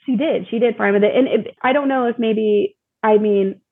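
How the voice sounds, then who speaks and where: A woman's voice: fairly high pitch, normal speed, slightly rough, phone-quality sound. A woman guest chatting casually and informally about her own experience, with a self-deprecating touch, over thin call audio. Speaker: interview guest, mother of young child. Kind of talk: casual chat.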